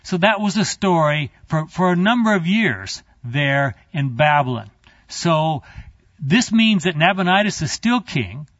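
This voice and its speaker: A man's voice: a little high, very animated, measured pace, a bit bright, no room sound. A man teaching a Bible class in a conversational lecture style, wandering into asides and speaking directly to his students. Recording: dry, slightly muffled recording.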